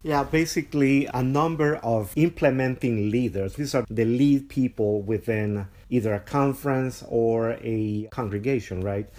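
A man from Honduras speaks at a middle pitch, explaining a church mission program in a measured, steady way. He is a guest on a podcast interview.

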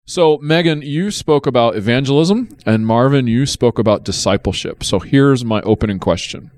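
A man co-hosting a church podcast, jokey and quick to laugh while asking questions. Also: mid-range voice, lively intonation, a little mellow. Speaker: podcast co-host.

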